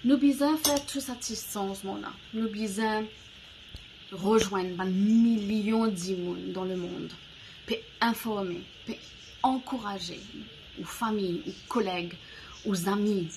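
A woman in her forties speaks slowly and deliberately to a device's built-in mic, pausing between short phrases. She talks in a language that is not her first and searches for words. Faint outdoor ambience drifts in from the garden veranda around her. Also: mid-range voice, background noise. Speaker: founder of an eco-products platform giving a message. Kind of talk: prepared short address to camera. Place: covered veranda outdoors, garden greenery behind.

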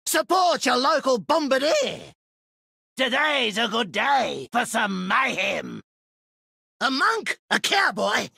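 An actor playing a manic young male demolitions maniac with an Australian accent and a high, shrill, cackling voice, giddy and scatterbrained, in a video game. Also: gravelly, bright, forceful tone, breathy edge, no echo, exaggerated voice. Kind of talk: video game character voice lines. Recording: dry studio recording.

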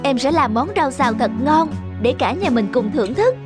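A woman voicing a sweet, adoring young rabbit wife in an animated fairy tale, gushing and affectionate. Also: high-pitched, moderate pace. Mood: delighted. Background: music.

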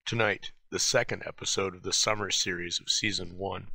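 A man's voice: sits low, steady tone, moderate pace, vocal fry, sharp tone, no room sound. A man hosting with a scripted introduction to a programme. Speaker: show host.

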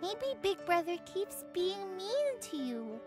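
High childlike storyteller, slow and simple. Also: music in the background. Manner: simple, expressive address to young listeners. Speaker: host of a children's Bible story show. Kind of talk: animated scripted storytelling and teaching for children.

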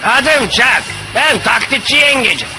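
A man's voice: very high-pitched, animated, unhurried, music in the background, full character voice. A man voicing a touchy, self-pitying imitation crab in a cartoon dub, whining and complaining melodramatically. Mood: glum, self-pitying. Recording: clearly noisy cartoon soundtrack.

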